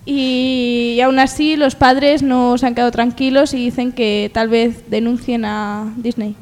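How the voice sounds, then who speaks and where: A high-voiced woman with a Spanish accent from Spain presents mock news in a halting, chatty way. Her voice rings with long reverb. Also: steady tone, darkish tone. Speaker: mock news presenter on a comedy radio show. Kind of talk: joking news reading with panel banter.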